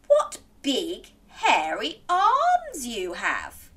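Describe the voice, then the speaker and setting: A woman voicing an innocent young girl in a fairy tale, speaking slowly and carefully in wonder. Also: fairly high pitch, huge pitch swings, sharp tone, distant mic, voice pushed up, heavily acted. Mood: wary wonder.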